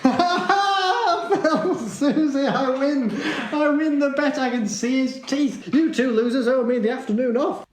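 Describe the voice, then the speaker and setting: A woman's voice: high voice, slow pace, few pauses. A woman playing a playful, brash bakery regular with a bantering, exclamatory manner in an audio drama. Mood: triumphant. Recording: echoey room, faint noise.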